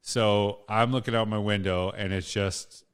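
A man's voice: on the low side, steady tone, measured pace, smooth. A middle-aged Canadian man hosting a podcast in a casual, rambling conversational way, often rephrasing himself. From Canada, small town Saskatchewan. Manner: informal, rambling, self-rephrasing. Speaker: podcast host.

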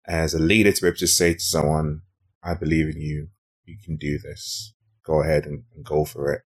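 A man's voice: rumbling low, level tone, brisk, slightly rough. A man hosting a conversational podcast interview, speaking in an earnest, encouraging way. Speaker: podcast host.

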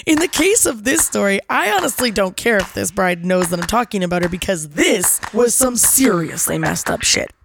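A middle-aged woman with a raspy voice hosting a podcast, telling stories in a playful, dramatic, salty-tongued way. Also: medium pitch, big pitch swings, moderate pace, few pauses, background noise. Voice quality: raspy from overuse. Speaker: podcast host. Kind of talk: animated storytelling to listeners.

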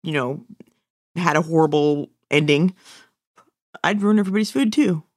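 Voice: mid-range voice, moderate pace, no room sound, clean studio sound. A podcast co-host chatting casually in a fan discussion, with a wry, joking way of talking.

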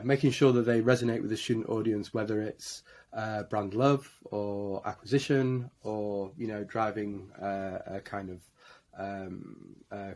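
A man with a Yorkshire accent, a podcast guest telling how he started his business, with many uh and um fillers. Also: lowish voice. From Yorkshire, England. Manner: many uh and um fillers, flat delivery. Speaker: guest, marketing agency director. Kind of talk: podcast interview storytelling.

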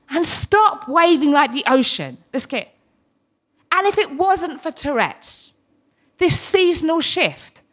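Female British storyteller, high-pitched and slow-paced, with frequent verbal tics breaking into her lines. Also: fairly bright tone. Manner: frequent involuntary vocal tics interjecting stray words mid-sentence. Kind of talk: live personal storytelling.

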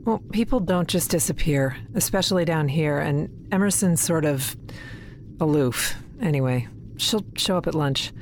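A woman's voice: sits low, expressive, normal speed, a little mellow, a little hiss or hum. A woman playing a withdrawn, weary scientist on an undersea base, dry, terse and guarded, in a naturalistic sci-fi audio drama. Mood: skeptical, reassuring.

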